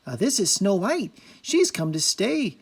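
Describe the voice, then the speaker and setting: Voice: mid-range voice, big pitch swings, unhurried, gravelly, slightly rough, voice pushed up, full character voice. A mumbling hungry dwarf, put on by the reader. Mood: eager. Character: always hungry little male dwarf.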